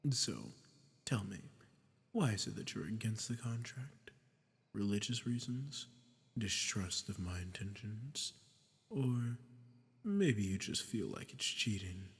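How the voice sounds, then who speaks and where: A man performs a sadistic archdemon for an audio roleplay, low-voiced and gravelly, in a long cavernous reverb. He is condescending and commanding, taking his time with every threat and sinking into breathy murmurs. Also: expressive. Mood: taunting. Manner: slow, menacing, condescending, dropping into breathy murmurs. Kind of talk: scripted audio roleplay addressed to the listener.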